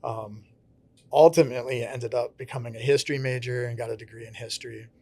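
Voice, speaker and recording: A man's voice: mid-range voice, fairly even intonation, a little fast, warm tone, breathy edge, dry recording. Pastor, male, Connecticut accent, earnest and reflective, dry close sound. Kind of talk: casual interview talk.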